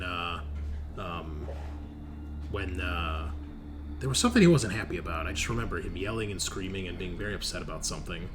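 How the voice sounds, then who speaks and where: A man voicing a hesitant, helpful spaceship crewman in a tabletop game, speaking haltingly and unsurely. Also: fairly low pitch, natural voice.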